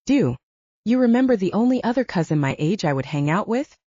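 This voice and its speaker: A woman's voice: medium pitch, very animated, brisk, warm tone, no room sound, dull audio. A woman narrator voicing a smirking, self-assured young man, a teasing love interest in an audiobook romance, smug and casual.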